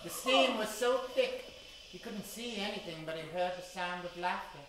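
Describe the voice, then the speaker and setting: A droll storyteller narrating a comic radio mystery, voiced by a man. He phrases things deliberately for suspense, with wry asides. The voice is bright, distant and very echoey. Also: high-pitched, slight background noise. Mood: dramatic. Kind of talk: dramatic narration, old-time radio with modern twist. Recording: very echoey stage, heard at a distance.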